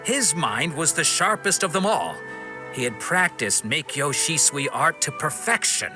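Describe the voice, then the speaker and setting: A man playing a solemn, reflective swordsman in a video game, recounting things in a measured way. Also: fairly high pitch, very animated, over music. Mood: wistful, reflective. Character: sombre, reflective male wandering swordsman recounting his past.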